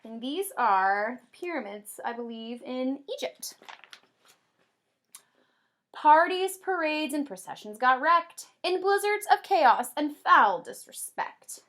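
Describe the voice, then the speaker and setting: A woman kindergarten teacher doing an expressive read-aloud for young children, with drawn-out emphasis and simple explanations. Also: high-pitched. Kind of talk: animated children's read-aloud with comprehension questions.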